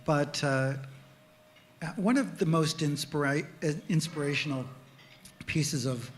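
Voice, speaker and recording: Guy interviewer, voice a bit on the high side, halting as he works out his question live.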